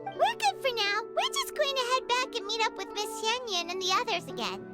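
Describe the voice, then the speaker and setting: A woman playing a tiny, excitable, childlike fairy companion in a video game, eager and exclamatory. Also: very high-pitched, normal speed. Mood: chirpy, earnest. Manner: refers to self in third person, eager, exclamatory. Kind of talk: video game character acting. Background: playful game music.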